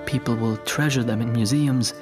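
A man's voice: medium pitch, fairly even intonation, normal speed, lightly acted. A man in a radio drama playing a brooding, melancholy writer speaking an inner monologue. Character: brooding, melancholy male writer pondering his future. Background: music, violin.

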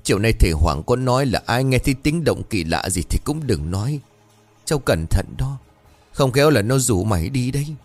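A man's voice: mid-range voice, big pitch swings, brisk, faint music behind, pitched above the performer's own voice. A man voicing a distraught older aunt who pleads shakily, in a story reading. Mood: pleading. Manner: shaky, pleading.

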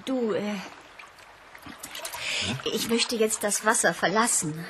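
A woman's voice: mid-range voice, slow, background noise, close to an everyday voice. A woman playing a coy, playful worker in a radio play, speaking coaxingly. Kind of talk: 1980s German radio play acting.

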